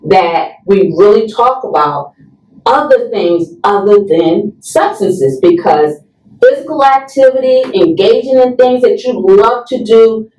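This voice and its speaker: A woman's voice: fairly high pitch, expressive, a little slow, darkish tone. A woman giving a conversational, instructive explanation as a guest expert, often checking in with short tag questions. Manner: checks in often with right and okay. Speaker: guest expert in substance use and overdose prevention education. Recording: roomy echo, distant from the mic.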